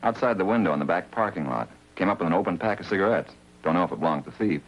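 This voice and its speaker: A man's voice: deep voice, animated, rapid-fire. An actor playing a methodical American police lab man, terse and matter-of-fact in 1950s drama style. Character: brisk, methodical police crime lab technician, male. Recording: muffled, noisy 1950s TV soundtrack.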